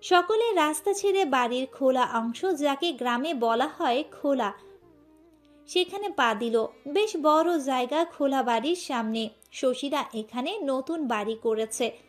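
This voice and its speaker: High-pitched woman narrating a story. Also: lively intonation, a little slow. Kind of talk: scripted audio story narration. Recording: music under the voice. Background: background music.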